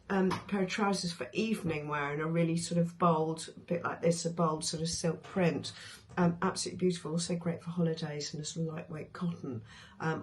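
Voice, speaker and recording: A woman with a hoarse voice, chatting casually about her favourite sewing patterns, with many ums and restarts. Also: lowish voice. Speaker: sewing vlogger.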